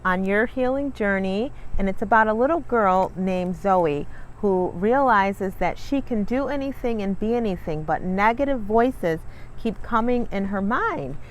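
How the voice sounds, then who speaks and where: A woman's voice: medium pitch, lively intonation, a little slow, slight background noise, poor audio. A woman hosting a children's read-along, speaking in an encouraging, emphatic way as she introduces and reads a picture book. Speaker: read-along host and coach, reading with her daughter.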